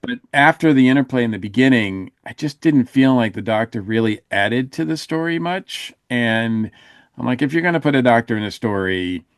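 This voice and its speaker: A man's voice: mid-range voice, expressive, moderate pace, no echo, studio quality. A man co-hosting a podcast, talking through a casual critique and often groping for words. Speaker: podcast co-host reviewing audio dramas. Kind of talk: casual review chat.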